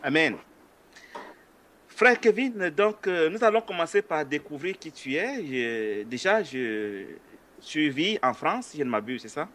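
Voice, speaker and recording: A man's voice: a little high, big pitch swings, measured pace, fairly bright tone, a little hiss or hum. A man hosting an interview, asking questions in a polite, hesitant way with frequent filler sounds. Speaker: interview host. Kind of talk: conversational religious interview.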